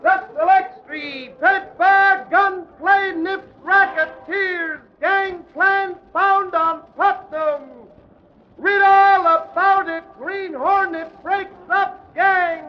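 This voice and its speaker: A man's voice: very high voice, fairly even intonation, extremely slow, soft background music, heavily acted. A man playing a young street newsboy crying headlines, in 1940s radio drama style. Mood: loud, urgent. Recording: muffled 1940s radio recording.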